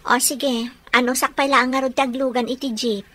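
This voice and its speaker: A woman's voice: high-pitched, little pitch movement, moderate pace, fairly bright tone. A woman with a Filipino accent playing a caring young sweetheart in a radio drama. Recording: radio drama with background music.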